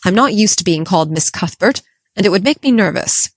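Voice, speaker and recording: A woman's voice: mid-range voice, lively intonation, a little fast, no echo, clean studio sound. A woman voicing a strict, no-nonsense middle-aged spinster, clipped and disapproving. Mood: stern. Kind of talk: audiobook character voice.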